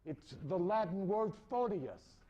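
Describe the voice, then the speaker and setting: A man with a high-pitched voice delivers a spiritual sermon at a very slow pace. He is deliberate and emphatic, circling back to repeat key phrases. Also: lively intonation, dark tone, muffled recording. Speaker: spiritual preacher. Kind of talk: esoteric sermon.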